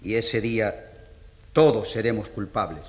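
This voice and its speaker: An actor playing a stern, righteous male jury foreman in a radio drama, declaiming deliberately in a reverberant hall. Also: lowish voice, a little mellow, lightly acted. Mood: grave, accusing. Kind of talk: radio drama courtroom speech.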